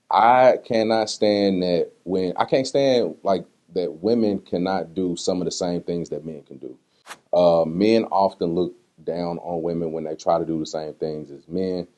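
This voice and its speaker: Male interview guest, low-pitched voice, slangy and conversational, telling personal stories informally. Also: unhurried. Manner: slangy, conversational, animated retelling with acted-out quotes. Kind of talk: casual candid interview talk answering personal questions.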